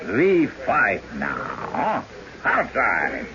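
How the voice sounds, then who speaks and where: Slow gravelly brute. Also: male voice, on the low side, wildly swooping pitch, full character voice. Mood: belligerent. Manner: halting, blunt broken phrases. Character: brutish drunken male still owner, menacing, violent. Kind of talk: radio drama acting. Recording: dull radio recording, crowd behind.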